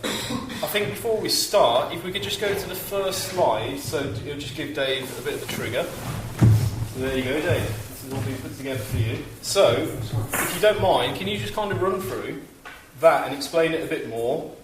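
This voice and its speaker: A man's voice: fairly high pitch, lively intonation, normal speed, noisy background. A man hosting a college event in a chatty, jokey way, addressing a student audience. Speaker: college lecturer and host. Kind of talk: casual stage hosting. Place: college hall. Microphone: handheld mic heard from far off. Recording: very echoey hall from far off with music.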